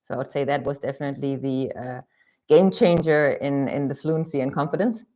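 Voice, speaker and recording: Low-voiced woman chatting. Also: a little fast, warm tone. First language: German. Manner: hesitant, lots of ums and restarts. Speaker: co-host. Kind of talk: casual livestream chat.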